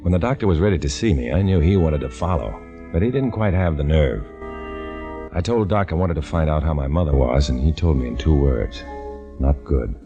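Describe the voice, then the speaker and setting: A man's voice: a bass voice, expressive, brisk, dull audio. An actor narrating as a tense undercover man posing as a communist, in hard-boiled 1950s radio drama style, on an old broadcast with music behind. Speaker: narrator and lead.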